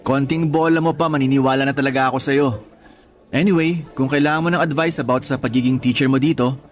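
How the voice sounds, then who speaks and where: A man's voice: medium pitch, fairly even intonation, fast talker, faint music behind, lightly acted. A man playing a friendly, reassuring teacher colleague in a radio drama, speaking in an easygoing, conversational way. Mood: candid, helpful. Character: friendly, reassuring young male high school teacher colleague. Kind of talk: Tagalog radio drama acting.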